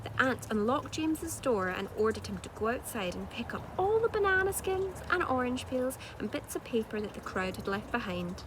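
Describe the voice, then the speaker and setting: A woman with a slightly high voice reads a children's story aloud. Her delivery is measured and dramatic, like a teacher's. There is clear noise in the recording. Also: big pitch swings. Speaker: primary school teacher reading aloud. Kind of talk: expressive children's storybook narration. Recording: clearly noisy home-made recording.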